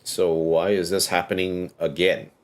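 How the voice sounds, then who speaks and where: A man's voice: sits low, level tone, unhurried, slight background noise. A man livestreaming a game, reacting casually with offhand, murmured interjections. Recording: game music behind the voice.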